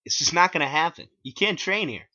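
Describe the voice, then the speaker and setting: A man's voice: a little high, animated, fairly quick, pushed and bright, dull audio, voice pushed up. A man voicing a bossy male gang leader who gives curt orders in a story reading. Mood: curt, commanding.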